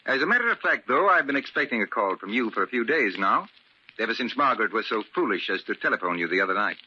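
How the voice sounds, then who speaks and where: A man's voice: lowish voice, sing-song, fast, sharp tone, husky, muffled recording, full character voice. An actor playing a cruel, domineering older father-in-law with formal, condescending politeness in a vintage radio drama. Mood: icily polite. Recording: crackly band-limited old radio recording.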